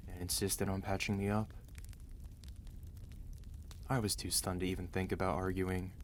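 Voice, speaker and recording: A man acts out a protective mafia boss husband in an intimate audio roleplay. His voice is low, and he speaks in a tender, confiding way, weary but gentle. There is noticeable noise behind him. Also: natural voice. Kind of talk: intimate ASMR roleplay acting.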